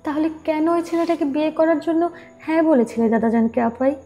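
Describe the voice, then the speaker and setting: A woman's voice: sits high, fairly even intonation, brisk, warm tone, faint music behind, natural voice. A woman voicing a caring, inquisitive, girlish younger sister in an audio story. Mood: concerned questioning. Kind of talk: Bengali audio story acting.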